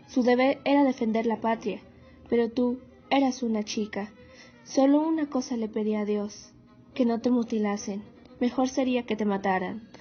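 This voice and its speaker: A woman's voice: fairly high pitch, natural voice. A woman playing a devout, worried mother of a soldier in a radio drama. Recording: dull lo-fi recording, music underneath.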